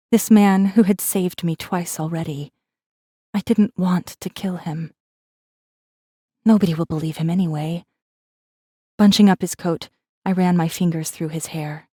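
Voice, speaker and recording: A woman's voice: fairly low pitch, dark tone, no echo, clean studio sound, lightly acted. A woman voicing a wry, guarded rebel messenger who narrates a space fantasy audiobook in a controlled, intimate way. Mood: conflicted, tender. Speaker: audiobook narrator in first person. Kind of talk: scripted dramatic narration.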